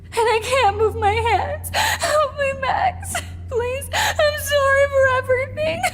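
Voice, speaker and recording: An actress playing a frightened, remorseful eighteen-year-old captive student in a video game, pleading and faltering. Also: female voice, very high voice, slow pace, a bit bright, big-room sound, slight background noise, full character voice. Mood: frightened, tearful. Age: young adult. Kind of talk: video game cutscene acting.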